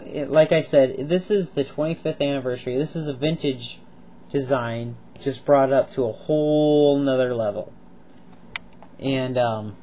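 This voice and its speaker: A man's voice: medium pitch, warm tone, dull audio, a little hiss or hum. A man with a cold reviewing toy figures in a casual home video, rambling and enthusiastic with lots of ums and repeats. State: stuffed up with a cold, coughing.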